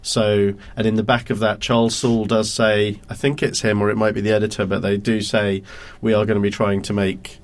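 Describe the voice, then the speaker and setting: A young man co-hosting a casual podcast chat, teasing his co-host and pausing often on "uh". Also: lowish voice, little pitch movement. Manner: teasing, hesitant with fillers.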